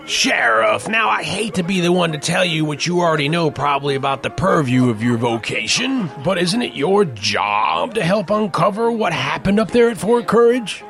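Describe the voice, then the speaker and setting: Actor as a high, stammering young newspaperman. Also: sing-song, gravelly, fairly bright tone, heavily acted. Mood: pleading, insistent. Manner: halting, stammering, pleading. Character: nervous, persistent young newspaper reporter, out of his depth. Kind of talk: western audio drama acting. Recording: music behind the voice.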